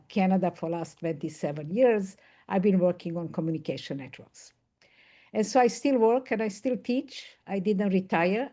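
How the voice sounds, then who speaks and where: An older woman from Serbia, speaking on a video call in a lowish, mellow voice. She explains at length and in a thoughtful way, as a professor on a panel. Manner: thoughtful, explanatory, with occasional laughs. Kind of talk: online panel discussion.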